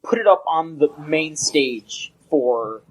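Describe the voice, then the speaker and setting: Husky young man rambling on a podcast. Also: on the high side, animated, slow pace, poor audio. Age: young adult. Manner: rambling, restarts sentences often. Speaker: podcast co-host. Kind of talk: casual podcast chat.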